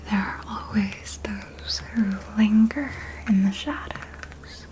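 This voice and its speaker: Seductive female vampire mentor , woman performer: close-mic ASMR whisper, extremely unhurried, tender yet manipulative and predatory. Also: mid-range voice, a little mellow, poor audio. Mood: wistful, predatory. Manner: slow, intimate, coaxing whisper. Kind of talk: ASMR roleplay. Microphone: close ASMR microphone.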